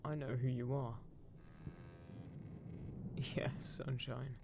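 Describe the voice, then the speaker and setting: A man playing a roguish, smug, gruffly caring undead pirate captain in a roleplay audio drama, teasing and menacing, speaking unhurriedly to the listener. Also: medium pitch, level tone, slow pace, background noise. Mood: smug, taunting. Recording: constant ship creaking ambience behind voice. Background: creaking wooden ship sound effects.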